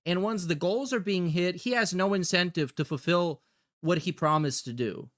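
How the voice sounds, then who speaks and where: A man's voice: high voice, normal speed, fairly bright tone. A man giving casual reaction commentary as a show host, swearing freely in a sarcastic, mocking manner. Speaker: podcast host reacting to clips.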